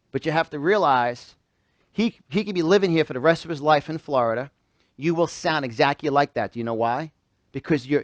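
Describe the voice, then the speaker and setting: Male seminar speaker, higher-pitched, emphatic, repetitive, rhetorical questions, dry close sound. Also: dry recording. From New York, United States. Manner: emphatic, repeats phrases and fires questions at listeners. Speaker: motivational speaker. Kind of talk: motivational seminar talk to a live audience. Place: live event room with a small audience.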